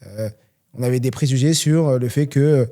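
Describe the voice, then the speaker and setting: A man's voice: mid-range voice, brisk, warm tone. A young man from France, talking earnestly and motivationally on a solo question-and-answer podcast, with many fillers. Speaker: podcast host and trainer.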